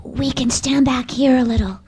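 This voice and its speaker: A woman's voice: high-pitched, steady tone, normal speed, darkish tone. A woman playing an earnest, plucky young boy, plain-spoken and eager, in an old-time radio drama.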